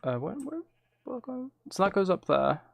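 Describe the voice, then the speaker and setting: Gravelly man thinking aloud over a game. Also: high voice, very animated, normal speed, dry recording. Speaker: streamer playing a building game. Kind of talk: casual gameplay commentary, chatting with viewers.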